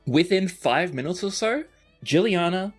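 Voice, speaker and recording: A man's voice: high-pitched, lively intonation, a little slow. A man narrating a tabletop roleplaying game as game master, with music playing behind him. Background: background music.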